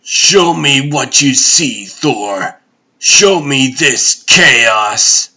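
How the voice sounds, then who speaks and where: A man's voice: medium pitch, animated, drawn-out words, bright, forceful tone, full character voice. A man playing an imperious, cold and brooding god emperor in a comic book dub, speaking in a pompous, commanding way.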